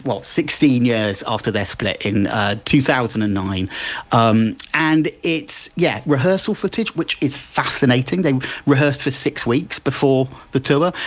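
A man's voice: medium pitch, lively intonation, a little slow. A man who works as a broadcaster and podcaster, appearing as a guest and chatting enthusiastically about cultural picks, with many fillers. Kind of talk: cultural recommendations chat.

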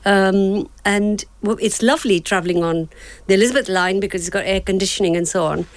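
A woman from Uganda telling lively anecdotes as a panel guest. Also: mid-range voice, a little fast, no echo.

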